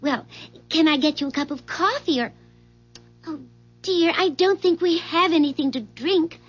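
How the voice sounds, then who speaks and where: An actress playing a small, eager, flustered neighbour hostess in a 1970s radio drama, effusive and hesitant. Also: female voice, very high-pitched, normal speed. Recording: dull vintage radio recording.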